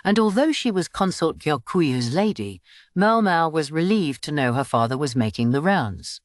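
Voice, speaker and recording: A woman's voice: deep voice, animated, moderate pace, no room sound, clean studio sound. A woman reading scripted story narration for an audiobook.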